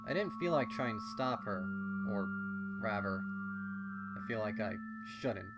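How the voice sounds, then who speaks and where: A man's voice: fairly low pitch, animated, slow pace. Man narrating a story over music. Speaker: fan fiction narrator. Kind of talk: expressive first-person story narration. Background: music under the voice.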